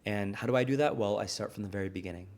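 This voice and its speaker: Earnest man with a low voice. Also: rapid-fire, creaky, darkish tone. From United States. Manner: earnest, reflective storytelling. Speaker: guest, restaurant founder. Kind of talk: conversational interview.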